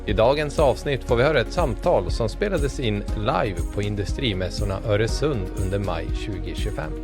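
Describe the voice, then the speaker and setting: A man's voice: on the low side, animated, a little fast. Man announcing a podcast intro over music. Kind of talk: scripted intro.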